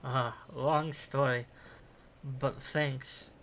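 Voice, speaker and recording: A hesitant, sceptical teenage boy, played by a man, wry in manner. He speaks slowly at a middle pitch, in audio drama style with faint noise behind. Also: fairly even intonation, a bit bright.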